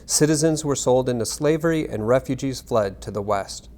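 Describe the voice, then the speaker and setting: A man giving a scripted reading of narration and historical text in a measured, formal manner. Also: mid-range voice, a little mellow. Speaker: reader.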